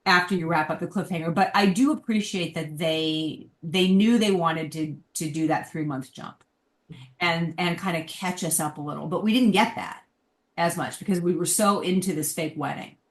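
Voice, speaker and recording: A woman's voice: on the low side, normal speed. A woman guest on a podcast, chatting casually and candidly about her opinions. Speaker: podcast guest, television writer. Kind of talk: casual panel chat. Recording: roomy, echoey sound.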